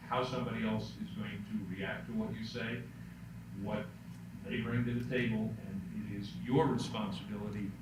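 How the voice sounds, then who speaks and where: Middle-aged man, deep voice, relaxed workshop presenter with dry jokes; distant mic, noisy room. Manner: relaxed storytelling with self-deprecating jokes. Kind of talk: public speaking workshop Q&A. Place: lecture room. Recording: echoey room, distant mic, background noise.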